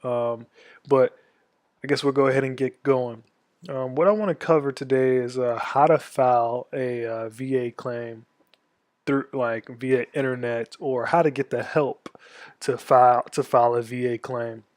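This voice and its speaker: A man in his twenties from Florida, an army veteran walking viewers through a tutorial in a casual, halting way, stuffed up from a cold. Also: medium pitch, steady tone, no room sound, studio quality. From Florida, USA. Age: 20s. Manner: halting, many ums and restarts. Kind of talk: casual screen-share tutorial.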